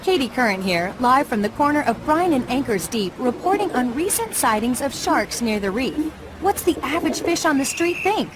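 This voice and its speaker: A woman's voice: sits high, very animated, normal speed. A woman voicing a perky fish TV reporter doing on-the-spot news in a cartoon game, with some ambient noise. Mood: brisk, perky.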